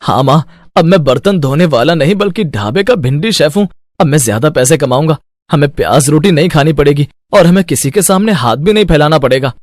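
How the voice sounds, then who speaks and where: A man's voice: on the high side, animated, brisk, a little mellow, no room sound, clean studio sound. A man playing an earnest, hardworking young cook in a Hindi moral cartoon story, speaking emphatically. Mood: eager, determined. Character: earnest hardworking young son, a poor dhaba okra cook.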